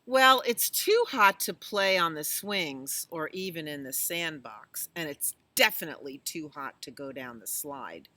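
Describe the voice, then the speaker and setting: Bright-voiced woman reading slowly to children. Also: low-pitched, dry recording. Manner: animated, exclamatory, asks listeners questions. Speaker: children's storytime reader at a library. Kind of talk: picture-book read-aloud with chatty asides to children.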